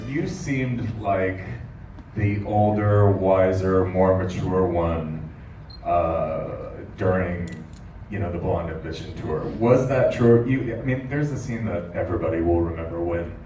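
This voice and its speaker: A man's voice: deep voice, dark tone, a little hiss or hum. A man in the audience asking a long question in a rambling, chatty way with many fillers. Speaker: audience member. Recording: echoey hall, far from mic.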